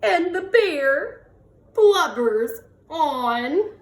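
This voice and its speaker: A woman reading a picture book aloud to young children in an expressive way, drawing out words and putting questions to the listeners. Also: high voice, extremely slow. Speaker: children's read-aloud host. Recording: roomy echo, distant from the mic.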